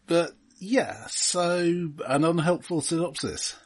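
A man's voice: on the high side, takes it slowly. A man co-hosting a podcast, chatting casually about films with dry, understated humour. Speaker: podcast co-host. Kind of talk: casual film discussion.

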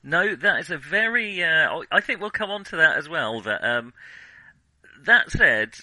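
A man's voice: fairly high pitch, lively intonation, measured pace, pushed and bright, no room sound, low-quality recording. A man hosting a film podcast in casual conversation, with a wry, hesitant delivery. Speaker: podcast host.

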